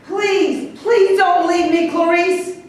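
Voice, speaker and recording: A woman acting an angry, fearful elderly grandmother and patient in a staged reading, slow and dramatic in her pleading and scolding. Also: very high voice, fairly even intonation. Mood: distressed, pleading. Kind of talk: amateur staged reading of a play. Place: live staged reading in a reverberant room. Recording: very echoey room, distant mic, faint noise.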